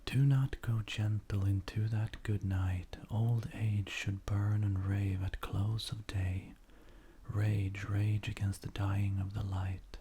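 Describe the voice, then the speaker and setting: A man with a soft, breathy, whispering voice, reading poetry gently in an unhurried, measured ASMR style. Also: on the low side. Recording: faint background noise.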